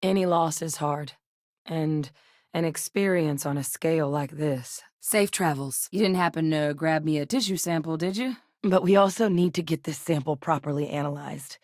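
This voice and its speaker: A voice actress playing a composed woman scientist with a military past, speaking in a measured, clipped, matter-of-fact way. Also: low voice, a little mellow, no room sound, studio quality. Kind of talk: video game voice lines.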